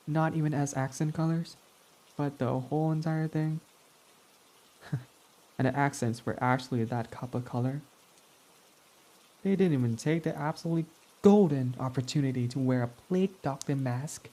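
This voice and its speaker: A man's voice: mid-range voice, normal speed, pauses often, warm tone, close to an everyday voice, low-quality recording. A man voicing a prickly, snarky male villain in an ASMR roleplay, talking in a sarcastic, mocking, dismissive way. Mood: snide.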